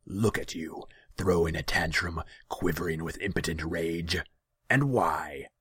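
A man voicing a scornful, imperious Rakshasa demon in a fantasy story, drawing words out slowly and contemptuously. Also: low voice, fairly bright tone, husky, no echo, full character voice. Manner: slow, drawn-out, contemptuous.

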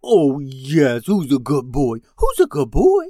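A man's voice: mid-range voice, wildly swooping pitch, slow pace, mellow, dry recording, clean studio sound. Man doing a stammering, fussy polite crewmate. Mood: cooing baby talk.

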